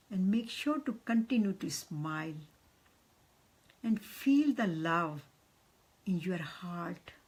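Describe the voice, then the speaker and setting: A woman, leading a guided meditation in a soft, half-whispered voice. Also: fairly low pitch, husky.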